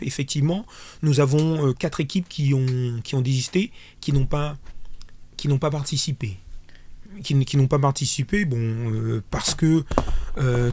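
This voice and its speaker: A man answering as an official in a radio interview, explaining things haltingly and repeating words as he restarts. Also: medium pitch, warm tone. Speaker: sports official, interview guest. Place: radio studio.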